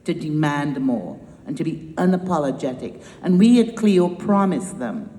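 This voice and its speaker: A woman's voice: deep voice, very animated, moderate pace, warm tone, a little hiss or hum. A woman from Trinidad with a Trinidadian accent, founder of a nonprofit giving an emphatic, rousing speech with wry humor. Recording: echoey hall with long reverb.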